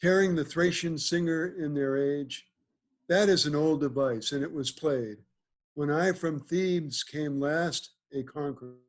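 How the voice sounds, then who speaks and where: A genial Athenian duke played by a man speaks in stately Shakespearean verse. His voice sits a little high, with the lordly ease of a ruler in a stage play read over a video call. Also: measured pace, close to an everyday voice. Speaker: amateur reader in a play. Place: remote video call, reading from home.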